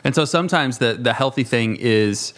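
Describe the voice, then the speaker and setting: A man in his thirties talking reflectively in a panel discussion, with halting phrasing and self-corrections. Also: mid-range voice, fairly bright tone. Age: 30s. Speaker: youth ministry worker.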